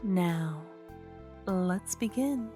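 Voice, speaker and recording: A woman giving calm, soft-spoken scripted narration to set up an ASMR roleplay. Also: on the low side, very slow, faint music behind. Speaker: ASMR creator.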